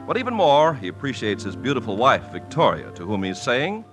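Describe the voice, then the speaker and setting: A man's voice: medium pitch, wildly swooping pitch, a little fast. A man with an American accent announcing and narrating a 1950s radio show in a polished, emphatic way. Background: music.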